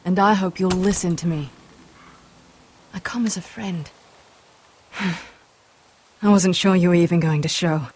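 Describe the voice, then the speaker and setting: Young noblewoman for fantasy game: dark-toned, lowish, guarded, earnest, grave and formal. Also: steady tone, breathy edge. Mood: guarded, soft. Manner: measured, grave, formal phrasing. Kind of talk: video game drama voice acting.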